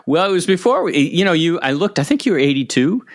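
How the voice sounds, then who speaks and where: A man's voice: fairly high pitch, big pitch swings, fast. A middle-aged man, hosting and interviewing on a podcast. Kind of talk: scripted podcast intro and conversational interviewing.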